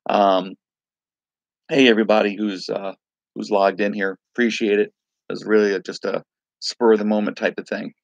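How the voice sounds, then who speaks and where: A man with a raspy voice from a night of singing, hosting a casual crypto livestream, rambling loosely and greeting viewers by name. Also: on the low side, flat delivery, normal speed, pauses often, no room sound. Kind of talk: casual live-stream chat.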